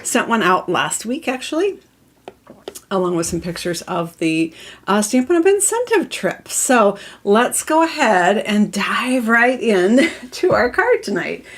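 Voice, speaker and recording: A woman's voice: mid-range voice, big pitch swings, a little slow. A middle-aged woman with a cold, congested and a little weak-voiced, chatting casually as she hosts a live stamping craft lesson from her craft room. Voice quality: voice not strong, cough drop in mouth. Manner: chatty and friendly, many fillers, laughs at herself. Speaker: craft demonstrator hosting a live stream.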